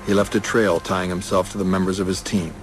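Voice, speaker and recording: Clipped, lowish-voiced police detective played by a man. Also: level tone, brisk, faint music behind, close to an everyday voice. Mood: measured. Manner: clipped, matter-of-fact. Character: serious, terse male police detective, narrating his case. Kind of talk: TV crime drama dialogue and voice-over.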